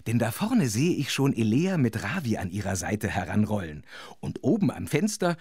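A man's voice: fairly low pitch, big pitch swings, moderate pace, a little mellow, breathy edge, no room sound, clean studio sound. A man playing a chatty, sympathetic storyteller, narrating a children's audio drama with wry, conversational asides. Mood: amused sympathy.

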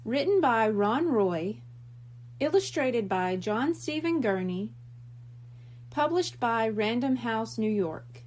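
A woman reading a children's book aloud in an expressive storytelling style. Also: on the high side, takes it slowly.

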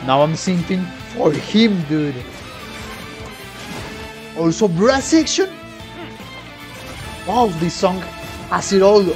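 Animated young man reacting over music. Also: high-pitched, slow pace, pauses often. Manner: informal, sweary, exclaiming. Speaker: musician reacting to game trailers. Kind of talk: casual reaction commentary. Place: small room at home. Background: game music.

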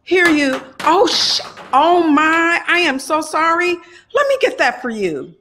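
A woman acting an excitable, playfully scheming aunt in an audio drama. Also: very high-pitched, slow pace, heavily acted. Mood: flustered, apologetic.

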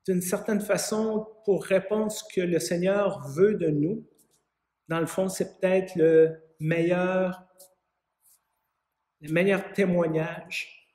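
In an echoey room, a man in his fifties preaches with a Quebec French accent. His voice sits high for a man, and he takes his time, phrasing things in a measured, conversational way. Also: warm tone. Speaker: parish pastor. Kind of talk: sermon. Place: small vaulted room with an arched window, indoors.

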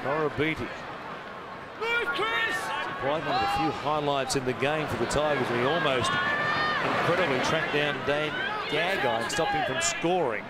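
A man calling a rugby league match live from a stadium commentary box, rising in excitement on big plays. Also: on the high side, measured pace, a loud crowd. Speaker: play-by-play commentator. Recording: broadcast with loud stadium noise.

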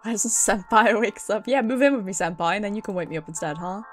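A woman's voice: mid-range voice, quick pace, a bit bright, soft background music. A British woman giving casual, playful, sweary live commentary as she streams a video game. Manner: playful, sweary, chatting to viewers.

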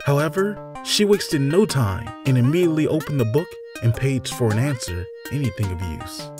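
A man narrating a scripted fantasy story in a measured, deliberate, theatrical storytelling manner. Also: mid-range voice, animated. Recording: music behind the voice. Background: background music.

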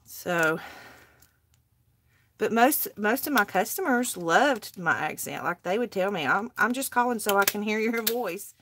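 A woman's voice: medium pitch, animated, a little slow, a bit bright. Southern woman, casual livestream crafter, chatty, faint noise and clicks behind. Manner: chatty, talks to viewers while working. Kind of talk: casual live crafting chat. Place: indoor craft table, overhead camera.

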